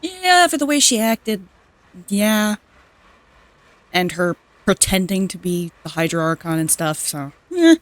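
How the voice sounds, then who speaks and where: A woman's voice: medium pitch, sing-song, unhurried, no room sound. A woman giving casual playthrough commentary on a video game in brief, offhand remarks. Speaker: gamer reacting to a story quest.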